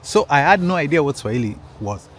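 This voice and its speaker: A man's voice: a little high, big pitch swings, a little fast, a bit bright, slight background noise. A man telling a personal story in a casual, rambling way, with frequent restarts and asides to his listeners. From Kenya.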